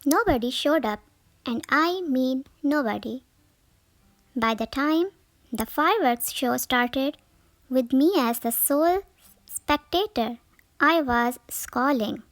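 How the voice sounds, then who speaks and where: A woman reading a children's picture book aloud as a storyteller, with expressive, careful phrasing. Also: high voice, slow, dry recording.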